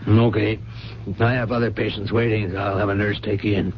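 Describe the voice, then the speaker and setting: An actor playing a breezy, reassuring hospital doctor in a 1970s radio drama, chatty and genial. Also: male voice, lowish voice, flat delivery, brisk, lightly acted. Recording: muffled radio broadcast with clear noise.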